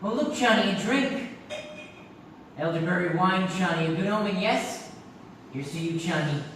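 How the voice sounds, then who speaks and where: An eager, placating crook of a doctor in a stage comedy, speaking broken foreign English. The sound is very echoey and distant, with faint noise. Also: male voice, sits high, normal speed, a bit bright. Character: eager, placating shady plastic surgeon sidekick. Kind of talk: theatrical stage comedy acting.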